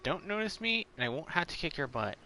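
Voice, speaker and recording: A man's voice: a little high, very animated, a little fast, pushed and bright, a little hiss or hum. A man doing casual gameplay commentary, talking aloud to the characters in the game as he streams.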